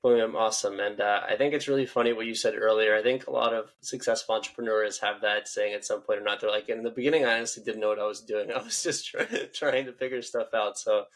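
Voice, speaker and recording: A man's voice: fairly low pitch, fairly even intonation, quick pace, few pauses. A man hosting a casual podcast interview, upbeat and affirming toward his guest. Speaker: podcast host.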